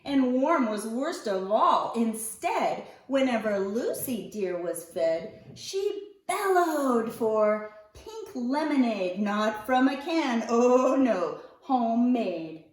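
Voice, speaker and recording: A woman reading a picture book aloud to young children, expressively, with deliberate phrasing and drawn-out key words. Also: fairly high pitch, lively intonation. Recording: roomy echo, distant mic.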